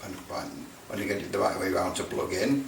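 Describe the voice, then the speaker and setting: An older man in his sixties talks through a practical demonstration in a small workshop. He sits away from the microphone, so the room rings around his voice, with some faint noise behind him. His delivery is casual and halting, wandering as he thinks aloud. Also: low voice, creaky. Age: 60s. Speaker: radio repair video maker. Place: small indoor workshop with block walls. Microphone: camera mic at a distance. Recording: roomy, distant mic, background noise.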